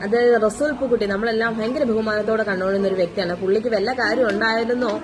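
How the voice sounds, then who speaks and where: A woman's voice: fairly high pitch, racing. A woman giving casual opinion commentary, exasperated and moralizing, asking many rhetorical questions. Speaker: commentary vlogger. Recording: noisy amateur voice recording.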